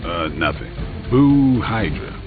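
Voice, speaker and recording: A huge demonic video game hero, gruff yet shy. The voice is gravelly and extremely deep, with lively swings. Music plays behind it. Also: male voice, slow, heavily acted. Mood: shy, smitten. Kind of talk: video game character dialogue. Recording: muffled game capture with music.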